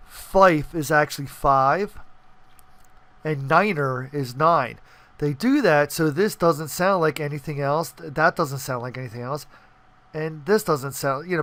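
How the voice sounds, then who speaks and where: Higher-voiced man giving a clear coding tutorial. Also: a bit bright. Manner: explanatory, checks in with listener. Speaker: programming tutorial presenter. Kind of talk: informal screencast tutorial walkthrough.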